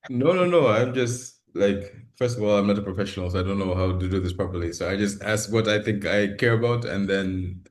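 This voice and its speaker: A man hosting an informal, unpolished interview, talking in a halting, self-deprecating way. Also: on the low side. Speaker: interviewer, podcast host.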